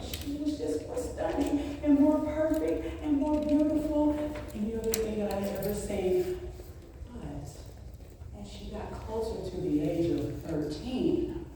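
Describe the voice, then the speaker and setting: Middle-aged woman telling a funny story onstage, slow and dramatic, big echoey hall. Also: fairly high pitch, expressive, warm tone. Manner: theatrical, with dramatic pauses and emphasis. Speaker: speech contest speaker. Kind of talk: humorous storytelling speech on stage. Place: large indoor hall, before an audience. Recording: very echoey hall, distant mic, faint noise.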